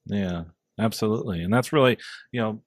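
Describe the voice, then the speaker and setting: A man's voice: lowish voice, fairly quick, no room sound, clean studio sound. A man hosting a podcast interview in a conversational style, guiding the discussion. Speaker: podcast host, airline pilot.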